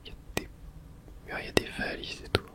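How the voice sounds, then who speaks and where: Whispering ASMR gamer. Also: quick pace. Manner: whispering throughout; casual swearing, muttering to self. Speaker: video game streamer. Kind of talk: whispered ASMR game playthrough.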